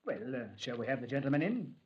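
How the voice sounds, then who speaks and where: A man plays a composed senior police commander who narrates and questions in a measured, clipped way. His voice sits in a plain middle pitch. He is heard on a dull, muffled old radio recording. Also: very animated, natural voice. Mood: stern. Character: calm, authoritative male senior police commander. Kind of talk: 1950s radio drama acting.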